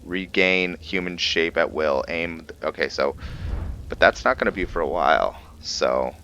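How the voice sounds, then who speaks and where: A man with a very deep voice talks through his roleplay game in a casual way. He takes his time, pausing and restarting as he thinks out loud. There is light noise in the recording. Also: lo-fi sound. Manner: halting, thinking aloud. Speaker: gamer hosting a roleplay let's play. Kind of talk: casual gameplay commentary.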